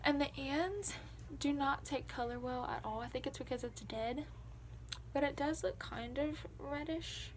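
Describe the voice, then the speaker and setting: Young woman vlogging in her bedroom, high voice, slow, says like a lot, phone mic. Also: background noise. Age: young adult. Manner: chatty, rambling, lots of "like". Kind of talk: casual vlog chat. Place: small bedroom, indoors. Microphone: phone camera at arm's length and on a dresser.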